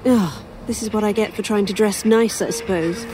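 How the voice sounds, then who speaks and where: A woman's voice: mid-range voice, normal speed, music in the background, close to an everyday voice. A woman playing a weary, sardonic young writer in an audio drama, with a dry manner. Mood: sardonic, bitter. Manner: dry, sarcastic, hesitant. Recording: faintly noisy outdoor sound bed. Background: crows cawing, outdoor ambience.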